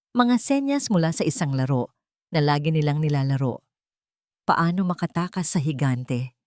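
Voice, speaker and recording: A woman's voice: deep voice, a little mellow, no echo, studio quality. A woman narrating a scripted romance story in a dramatic storytelling style.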